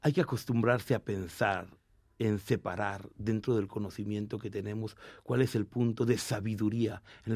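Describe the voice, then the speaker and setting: A man, a rabbi from Argentina with a Mexican Spanish accent, answering interview questions in a measured, explanatory way with some restarts. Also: medium pitch, vocal fry, darkish tone, dry recording, studio quality.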